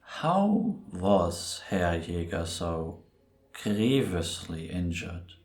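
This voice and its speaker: A man playing Death as a grave, gentle reaper who speaks in a measured, deliberate way in an audio roleplay. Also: low-pitched, very animated, heavily acted. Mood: calm, reflective. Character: solemn, gentle male Death, a reaper who was once human. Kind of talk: cinematic audio roleplay acting. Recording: roomy, reverberant sound.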